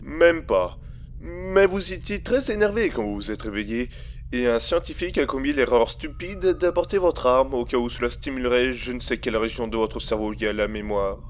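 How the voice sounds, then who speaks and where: An actor playing a cold, scheming male villain who blackmails with smooth, taunting, deliberate speech in an audio drama. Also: mid-range voice, slight background noise, close to an everyday voice.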